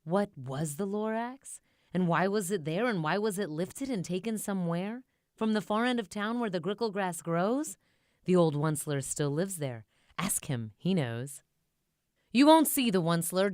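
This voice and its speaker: A woman reading a rhyming children's storybook aloud in a rhythmic, theatrical, expressive way. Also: fairly low pitch, clean tone, dry recording, studio quality. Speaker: storybook reader.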